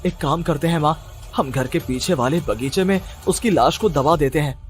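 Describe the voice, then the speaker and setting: A man's voice: on the high side, normal speed, faint music behind, natural voice. A man voicing an anxious, pleading young husband in a dramatized horror cartoon story, agitated and prone to stammer. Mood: nervous, scheming. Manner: agitated, stammering when frightened.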